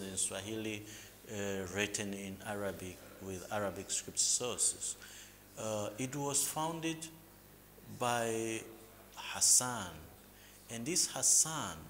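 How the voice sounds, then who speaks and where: A man from Mozambique, explaining local history as an interview guest in a measured, lecturing way, with hesitant fillers and restarts. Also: on the low side, a bit bright. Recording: reverberant room with faint background noise.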